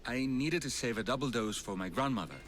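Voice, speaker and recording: An actor playing a kind, earnest village physician weighed down by worry, in a video game cutscene. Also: male voice, mid-range voice, a little fast, a bit bright, a little hiss or hum, lightly acted. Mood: calm, friendly.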